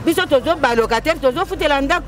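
Animated woman, very high voice. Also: quick pace. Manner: animated, gestures while talking. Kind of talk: street interview. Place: outdoor street market. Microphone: handheld reporter's mic.

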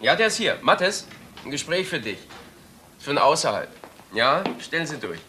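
An actor playing an obliging, attentive police assistant in a crime drama. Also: male voice, a little high, big pitch swings, moderate pace, bright, forceful tone, slight background noise. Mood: helpful, businesslike.

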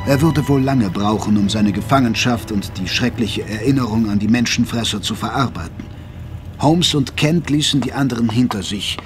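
A man's voice: medium pitch, moderate pace. A man delivering scripted, dramatic narration for an audio drama. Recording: music bed under narration.

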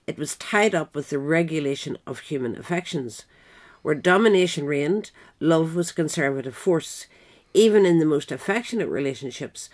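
A narrator reading a scripted essay in a measured, deliberate manner. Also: mid-range voice. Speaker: narrator, reader.

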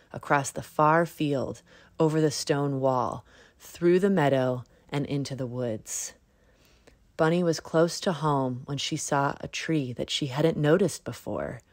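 A woman narrating a children's picture book in a measured read-aloud manner. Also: sits low, a little mellow, no echo. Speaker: storybook narrator. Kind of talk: scripted children's story narration.

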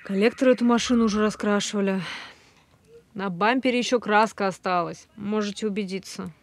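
An actress playing a blunt, sardonic, world-weary woman in an audio drama phone dialogue, with a dry deadpan delivery. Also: mid-range voice, brisk, no echo, natural voice.

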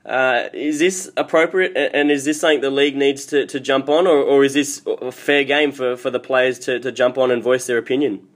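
A man hosting a sports interview, speaking haltingly and repeating words as he puts his questions. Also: medium pitch, steady tone. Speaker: sports radio host and interviewer. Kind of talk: broadcast interview.

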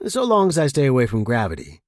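A man's voice: mid-range voice, wildly swooping pitch, fast talker, dry recording, studio quality. A man voicing a nervous, fragile male space hermit in audiobook dialogue, hesitant and defensive. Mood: awkward.

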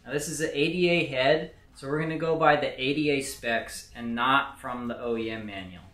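A man's voice: medium pitch, moderate pace, bright, forceful tone, roomy sound. A young man in his twenties, a host walking viewers through a repair step by step, talking to the camera in a home garage. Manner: plain step-by-step instructing. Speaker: mechanic host. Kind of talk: how-to repair walkthrough. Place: home garage workshop, indoors.

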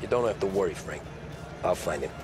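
An easygoing young getaway driver in a cinematic crime game. The voice sits low and the talk is modest, colloquial and unforced. Music plays clearly behind it. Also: male voice, expressive, fairly quick. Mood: modest, relaxed. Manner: casual, understated, colloquial.

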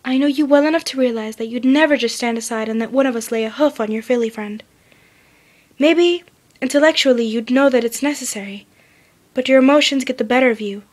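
A woman's voice: sits high, fairly quick, pitched above the performer's own voice. A woman reads as a stern female unicorn pony with a raised, firm voice. She reasons insistently, like a leader, in a fan story reading. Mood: reasoning.

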